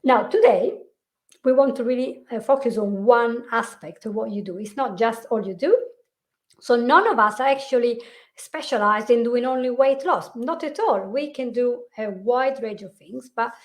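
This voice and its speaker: A woman with a high, light voice hosts a relaxed live-stream conversation. She talks chattily, often repeating a word as she restarts. The sound is a plain video-call recording. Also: moderate pace. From Italy. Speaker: host, hypnotherapist. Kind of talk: casual live-stream chat.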